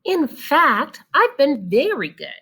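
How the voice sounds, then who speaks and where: A woman's voice: high-pitched, very animated, takes it slowly, fairly bright tone, voice pushed up, heavily acted. A woman voicing an earnest, self-satisfied young boy cat in a children's storybook, with an exclamatory way of talking. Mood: boastful, eager.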